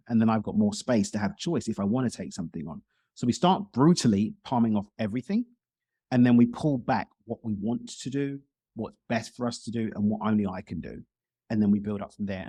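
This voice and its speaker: A man's voice: lowish voice, mellow, breathy edge, dry recording. A man talking casually as a guest in a podcast interview, explaining things in talkative detail. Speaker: podcast guest, speaker and coach.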